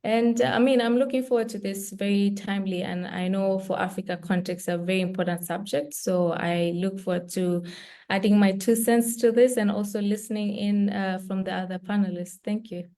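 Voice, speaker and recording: Woman from Kenya, sports lawyer, modest, brief, some fillers, mid-pitched, video call. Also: fairly even intonation, moderate pace, dark tone. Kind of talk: self-introduction on a webinar.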